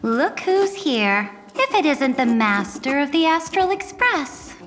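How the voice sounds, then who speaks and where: An imperious noblewoman in a game, grand and commanding, her high voice slow and deliberate. It rises and falls widely. Long reverb and soft music trail behind. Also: big pitch swings, exaggerated voice. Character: haughty regal young woman, imperious. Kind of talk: game voice acting.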